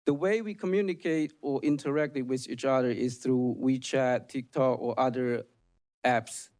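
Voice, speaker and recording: A man's voice: mid-range voice, fairly even intonation, a little mellow. Earnest man, Chinese native speaker. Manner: earnest, formal, slightly halting. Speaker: public witness. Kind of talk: prepared testimony at a hearing.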